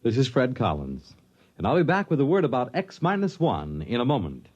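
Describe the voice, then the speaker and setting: Dramatic American radio announcer, lively pitch swings. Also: male voice, fairly quick. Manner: dramatic, formal delivery. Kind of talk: 1950s network radio announcing, scripted. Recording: dull vintage radio broadcast, faint music.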